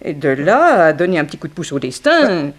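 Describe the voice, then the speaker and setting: An actress playing a bitter, haughty former dancer in a 1960s French radio drama, disdainful and theatrical. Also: female voice, low voice, big pitch swings, brisk. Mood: conspiratorial.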